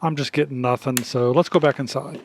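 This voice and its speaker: A man's voice: medium pitch, fairly even intonation, fairly quick, very noisy. A man hosting a casual hands-on demonstration, talking in a plainspoken, folksy way. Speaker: video host demonstrating radio gear.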